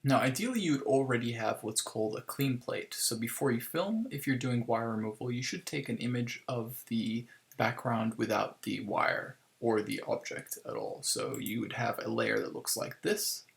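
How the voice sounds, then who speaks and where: A man giving a casual software tutorial step by step, thinking aloud informally as he works, in a creaky, gravelly voice. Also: medium pitch, moderate pace, hardly any pauses. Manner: informal, improvised, thinking aloud while working. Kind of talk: casual screen-recorded tutorial, explaining step by step.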